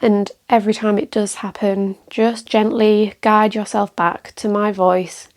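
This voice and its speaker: A woman leads a guided meditation at a slow, unhurried pace. Her voice sits in a middle register with a noticeable creak at the edges. She instructs gently and calmly, leaving space between phrases. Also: mid-range voice. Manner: calm, gentle, measured with pauses. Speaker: meditation teacher.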